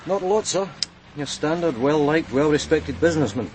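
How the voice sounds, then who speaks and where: An actor playing a steady, quietly wry police sergeant with a matter-of-fact, probing way of talking in a radio crime drama. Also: male voice, on the high side, moderate pace, background noise, lightly acted. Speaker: detective sergeant.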